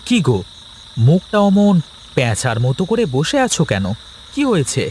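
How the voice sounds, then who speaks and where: A man acting as a practical village trader in a cartoon, placating at home and sure of his business. His voice sits on the high side and swings widely in pitch. There is clear noise behind him. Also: very animated, normal speed, darkish tone. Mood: puzzled, protesting. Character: married Bengali village trader, placating, practical husband and brother.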